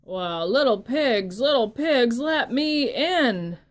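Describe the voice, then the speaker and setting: A woman voicing a menacing big bad male wolf in a told story, with slow, drawn-out threats. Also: fairly high pitch, expressive, voice pushed up.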